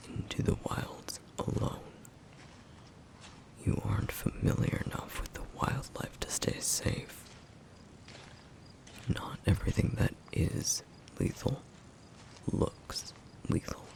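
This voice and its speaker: A man voicing a stern yet caring flame demon in an ASMR roleplay, speaking in a hushed, gravelly whisper with measured, formal phrasing. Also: on the low side, full character voice. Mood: stern warning. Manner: measured, formal, slightly old-fashioned phrasing.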